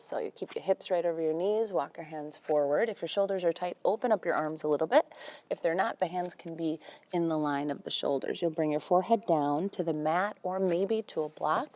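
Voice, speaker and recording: Young woman doing yoga instructions, deep-ish for a woman, lots of vocal fry, gentle n unhurried, chatty cues. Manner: gentle, unhurried, conversational cueing. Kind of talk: guided yoga class instruction.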